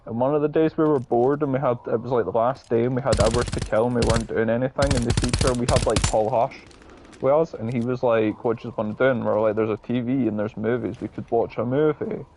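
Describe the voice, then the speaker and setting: Creaky-voiced young Scottish man gaming, noisy audio. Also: medium pitch, steady tone, quick pace. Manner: sweary, rambling anecdotes. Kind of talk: casual chat and storytelling while gaming.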